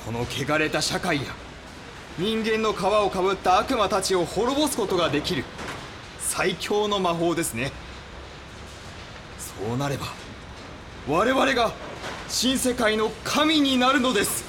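High-voiced fanatical cult lieutenant. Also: male voice, big pitch swings, background noise. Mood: fanatical, grandiose. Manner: measured, formal, menacingly polite. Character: cult leader's fanatical male right-hand man, coldly polite schemer. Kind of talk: audio drama acting.

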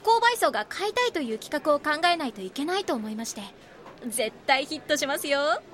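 A woman's voice: high voice, very animated, fairly quick, fairly bright tone. A woman playing an ambitious, insistent young rookie magazine reporter in a Japanese voice drama. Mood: enthusiastic pitching. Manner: earnest, insistent.

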